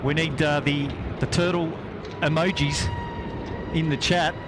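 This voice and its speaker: A man's voice: fairly high pitch, fairly even intonation, a little slow, background noise. An Australian man giving casual live commentary as the host of a plane-spotting stream. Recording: loud outdoor airfield ambience behind voice.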